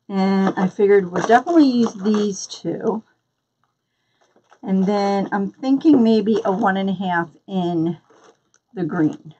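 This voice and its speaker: A woman's voice: mid-range voice, mellow, highs cut off, slight background noise. A woman with a Philadelphia accent hosting a live craft tutorial, chatting and greeting viewers by name as she works. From Philadelphia area, US. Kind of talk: live-stream craft demonstration.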